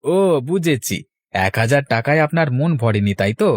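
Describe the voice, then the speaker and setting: A man's voice: mid-range voice, animated, moderate pace, no echo, clean studio sound, natural voice. A man voicing a sly, greedy village man in a cartoon, with a wheedling, insinuating manner. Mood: sneering. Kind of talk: cartoon voice acting.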